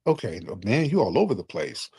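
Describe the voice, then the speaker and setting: A man's voice: medium pitch, big pitch swings, fairly quick, vocal fry, mellow, slightly rough, no echo. A man hosting a live call-in stream, questioning callers bluntly and skeptically. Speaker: live show host. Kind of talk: casual live-stream chat.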